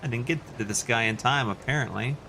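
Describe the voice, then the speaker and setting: A man's voice: medium pitch, fast, creaky, bright, forceful tone, a little hiss or hum. A Canadian man chatting casually and in a rambling way as he hosts a video game livestream and responds to his chat. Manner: rambling, reacting to chat messages.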